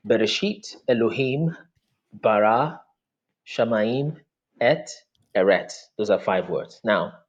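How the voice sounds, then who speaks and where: A man whose first language is Yoruba, a guest explaining things step by step in an unhurried, measured way over a video call. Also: lowish voice, animated, dull audio. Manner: measured, explains step by step with frequent okay and mm-hmm. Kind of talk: explanatory conversation.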